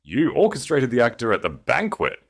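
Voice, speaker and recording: A man's voice: medium pitch, wildly swooping pitch, moderate pace, creaky, pitched above the performer's own voice, full character voice. A man voicing a wrathful, commanding Grand Duke in an audio story, cold, imperious and menacing. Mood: roaring accusation.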